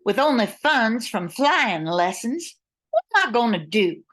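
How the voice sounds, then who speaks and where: A woman's voice: a little high, very animated, unhurried, fairly bright tone, full character voice. A woman playing a capable but worried airline owner and pilot, reading earnestly in a radio play. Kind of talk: old-time radio play acting.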